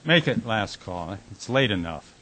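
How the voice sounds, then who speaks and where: A man playing a gruff, fed-up bar owner in a radio drama, speaking bluntly and curtly. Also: on the low side, very animated, a little slow, sharp tone, full character voice. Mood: commanding. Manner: blunt, curt, commanding.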